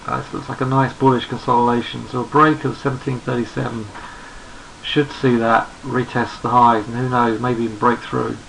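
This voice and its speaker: A man with a gravelly, croaky voice walks through trading charts. He is losing his voice and sounds hoarse. He speaks at a relaxed pace, thinking aloud and repeating himself as he goes. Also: medium pitch, normal speed, a little hiss or hum, low-quality recording. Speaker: trading analyst. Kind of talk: screen-recorded market chart commentary.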